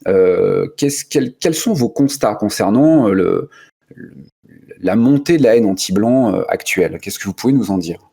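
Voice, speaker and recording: A man's voice: fairly low pitch, expressive, normal speed, gravelly, mellow, breathy edge. A male host opening a radio discussion show, speaking with frequent hesitations and restarts. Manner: hesitant, many fillers and restarts.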